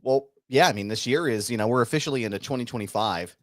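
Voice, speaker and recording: A man turning forty who hosts a casual show, upbeat and quick to laugh. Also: medium pitch, no room sound, studio quality. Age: 30s. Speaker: host. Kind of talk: casual chat.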